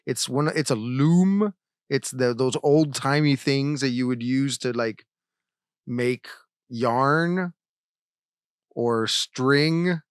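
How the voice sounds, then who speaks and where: A man's voice: medium pitch, slow pace, clean tone, no room sound, clean studio sound. A man co-hosting a trivia podcast, chatting casually and reading out questions in an easygoing, good-humored way. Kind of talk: casual chat.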